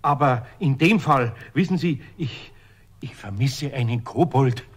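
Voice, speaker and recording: An actor playing an anxious, kindly master carpenter with a Bavarian accent, hesitant and polite, in a children's radio play. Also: male voice, mid-range voice, a little slow, very noisy, lightly acted. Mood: anxious, sheepish.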